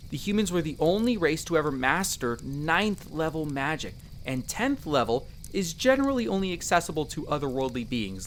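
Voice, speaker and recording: A man's voice: medium pitch, fairly bright tone, slight background noise. A man voicing a friendly, eager young elf explorer in a roleplay audio drama, chatting playfully and explaining patiently to the listener. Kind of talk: in-character conversational roleplay addressed to the listener.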